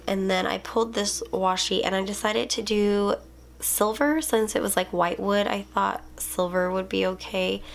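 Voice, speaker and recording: A woman's voice: mid-range voice, gravelly. A young woman, a planner YouTuber and mom, chatting casually at home while filming her desk from overhead, a bit sniffly and rambling with lots of ums. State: sniffly, unwell. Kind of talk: casual plan-with-me chat. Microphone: overhead camera, hands only.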